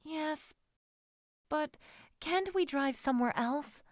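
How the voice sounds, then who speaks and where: A woman voicing an anxious, timid young woman in a storybook romance, soft and fretful in manner. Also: sits high, takes it slowly, no room sound, studio quality, voice pushed up. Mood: nervous. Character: anxious, timid young woman meeting her lover secretly.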